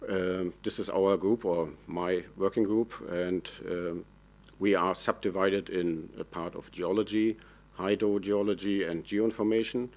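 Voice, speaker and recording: A man's voice: low voice, fairly even intonation, unhurried, a little mellow. A man, a native German speaker, presenting at a conference lectern with fillers and self-corrections. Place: large dark conference hall.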